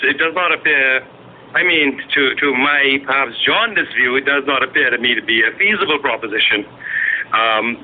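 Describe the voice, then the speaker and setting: Man on noisy, phone-like radio. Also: medium pitch. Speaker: political party spokesman and guest. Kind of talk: radio political interview.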